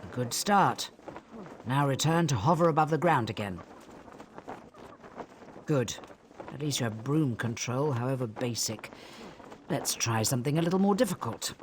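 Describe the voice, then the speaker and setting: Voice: mid-range voice, slight background noise, close to an everyday voice. An actor playing a strict, sardonic flying teacher in a video game, with clipped formal speech.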